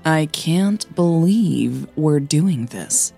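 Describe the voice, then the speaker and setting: Audio drama daughter, adult, defensive and uneasy, low-pitched with slow delivery, acted by a woman reader. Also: lively intonation, vocal fry, mellow, slightly rough, soft background music, full character voice. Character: defensive, uneasy grown daughter of a wealthy mother.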